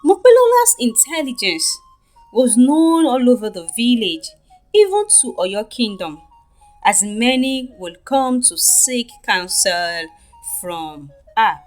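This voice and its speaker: Slow, high-voiced Nigerian woman storyteller. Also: big pitch swings, faint music behind, low-quality recording. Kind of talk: scripted folktale narration for children.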